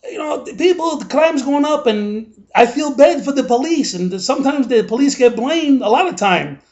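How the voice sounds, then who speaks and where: A man's voice: extremely high pitch, lively intonation, normal speed, darkish tone, lo-fi sound. A man playing a grumbling older male New Yorker, ranting in a rambling, indignant comic monologue full of asides.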